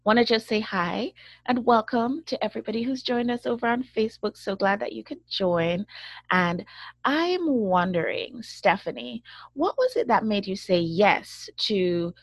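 A woman's voice: medium pitch, animated, normal speed, no room sound. A woman hosting an interview show, emphatic and encouraging as she talks with her guest. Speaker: show host. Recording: dry, slightly dull sound.

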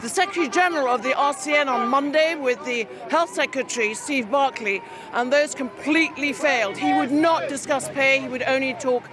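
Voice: high-pitched, brisk, few pauses, over music. A reporter speaking live from a street protest outdoors, talking conversationally with fillers. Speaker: field reporter. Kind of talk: live on-scene news report. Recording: street crowd loud behind the voice.

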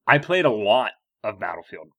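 A man's voice: on the low side, big pitch swings, normal speed, sharp tone. A young man co-hosting a casual podcast, making dry wisecracks. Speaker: podcast co-host. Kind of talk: casual chat.

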